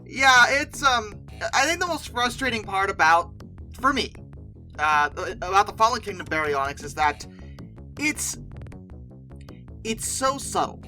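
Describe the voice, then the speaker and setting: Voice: medium pitch, animated, unhurried, pauses often, sharp tone, soft background music. A video host giving casual, opinionated commentary in a rambling, self-correcting, colloquial way. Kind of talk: casual commentary on film dinosaurs.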